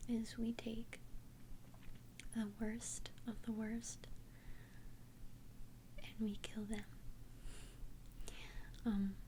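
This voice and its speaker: A woman whispering in a breathy, gentle, hesitant way, confiding as a friendly vampire best friend in an ASMR roleplay. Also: fairly high pitch, a little hiss or hum. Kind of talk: whispered ASMR roleplay, one-sided conversation. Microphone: close ASMR microphone.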